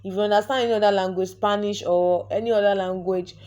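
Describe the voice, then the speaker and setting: A woman's voice: mid-range voice, steady tone, fast talker, darkish tone, dull audio. A young Nigerian woman, a native Yoruba speaker, talking through an online sign-up form step by step in a chatty way, often repeating words and restarting. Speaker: vlogger. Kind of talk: screen-recorded tutorial walkthrough.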